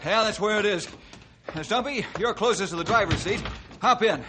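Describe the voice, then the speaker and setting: An actor playing a decisive, good-natured forest ranger leader in a 1950s radio adventure. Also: male voice, high-pitched, normal speed, close to an everyday voice. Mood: urgent. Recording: noisy 1950s radio recording.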